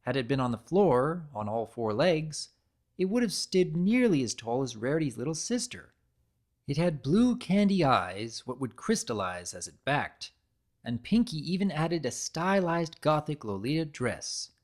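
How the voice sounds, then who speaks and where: A man reading a story aloud as narrator, in an expressive, measured storybook style. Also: a little high. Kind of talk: dramatic reading of fan fiction, scripted storybook narration.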